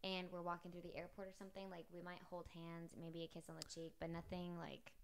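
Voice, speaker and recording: A woman's voice: fairly low pitch, completely flat delivery, fast talker, a loud crowd. A young woman, a flight attendant, chatting casually in a couple's Q&A vlog, reading out questions and laughing often.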